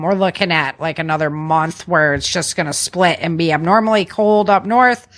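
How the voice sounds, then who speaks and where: A woman's voice: on the low side, fairly bright tone. A woman hosting a podcast in an informal, conversational way, repeating words for emphasis as she chats and runs through news. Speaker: podcast host. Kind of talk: casual podcast chat and news rundown.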